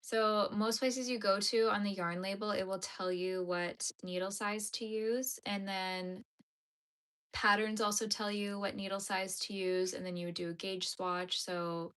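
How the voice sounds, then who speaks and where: A woman's voice: mid-range voice, fairly bright tone, smooth. Chatty woman in her thirties, rambling. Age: 30s. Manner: rambling, chatty, lots of fillers. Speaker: knitting channel host. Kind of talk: casual chat while knitting.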